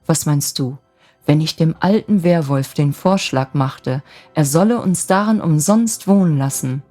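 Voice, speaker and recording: A woman's voice: sits low, a little slow, dark tone, soft background music. A woman voicing an ardent, cheerful young draftsman who woos his sweetheart in an eager, wheedling manner, in a storybook tale. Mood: hopeful, eager.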